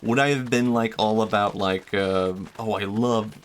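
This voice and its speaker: A man's voice: lowish voice, steady tone, a little slow, a little hiss or hum. A young man streaming a game, chatting casually and thinking aloud, often trailing off and restarting his sentences. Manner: rambling and self-reflective, trails off, many fillers and restarts. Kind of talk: casual chat while gaming.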